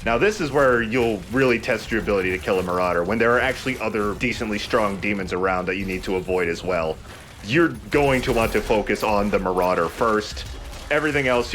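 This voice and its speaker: A man's voice: lowish voice, fairly quick, fairly bright tone, slight background noise. A man giving casual gameplay commentary as host of a let's play, explaining mechanics as he goes. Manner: explains game mechanics as he plays.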